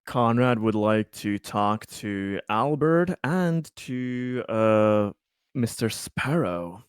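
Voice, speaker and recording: A man's voice: lowish voice, expressive, drawn-out words, clean tone, dry recording, studio quality. A man playing a tabletop game, describing his character's actions and searching for words as he goes. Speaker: tabletop roleplaying game player.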